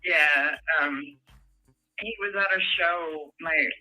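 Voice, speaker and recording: A man's voice: fairly high pitch, slow, bright, forceful tone, faint music behind, low-quality recording. A man from Hawaii calling in to a talk show, telling stories in a chatty, giggly way. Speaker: caller. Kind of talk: casual phone-in chat.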